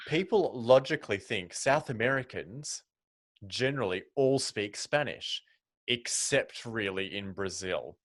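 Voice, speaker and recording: A man's voice: on the low side, animated, unhurried. A male host interviewing a guest, leading with questions and small jokes. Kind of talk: casual interview chat.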